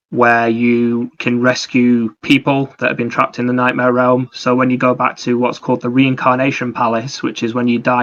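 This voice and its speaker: A man's voice: medium pitch, fairly bright tone, dull audio. A man co-hosting a podcast, talking casually about games in a rambling, explanatory way. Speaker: podcast co-host. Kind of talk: casual gaming discussion.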